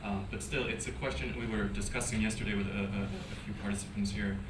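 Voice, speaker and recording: Low-voiced guy on a panel, lots of ums, echoey room, sounds far off. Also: fairly even intonation, quick pace. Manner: hesitant, many fillers and restarts. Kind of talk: panel discussion. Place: conference hall. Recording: echoey conference hall from a distance, background noise.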